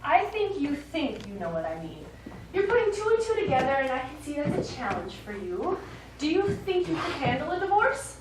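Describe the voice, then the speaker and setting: A woman on stage plays a shrewd, discontented wife, coy and sardonic. Her voice is high and very animated in pitch. The live theatre sound is distant and echoey, with faint noise. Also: normal speed. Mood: coy, teasing. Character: shrewd, teasing, discontented rich man's wife. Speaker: stage actor. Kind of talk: live stage comedy acting. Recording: echoey, noisy stage recording from afar.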